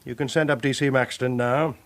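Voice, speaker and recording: An actor with a British accent playing a brisk, commanding male police chief inspector, giving curt orders in a radio drama. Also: medium pitch, steady tone, a little hiss or hum, close to an everyday voice. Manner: curt, authoritative, clipped orders. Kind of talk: 1980s radio drama acting.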